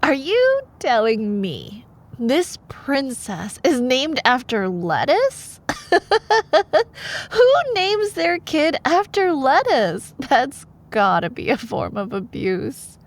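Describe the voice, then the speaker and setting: A woman in an ASMR bedtime storytelling style, expressive and playful, like a girlfriend reading aloud. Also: sits high, very slow.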